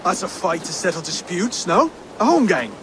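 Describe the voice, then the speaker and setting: A reluctant young Saxon heir, hesitant and flustered. His high voice jumps around a lot. Loud ambient noise fills the game cutscene behind him. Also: big pitch swings, moderate pace. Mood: nervous. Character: young Saxon would-be king, nervous and reluctant.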